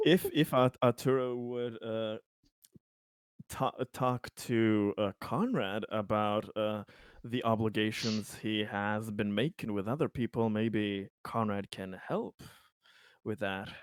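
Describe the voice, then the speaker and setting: A man casually describing his character's actions as a tabletop game player, in halting, drawn-out phrases. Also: fairly low pitch, clean tone, dry recording, studio quality.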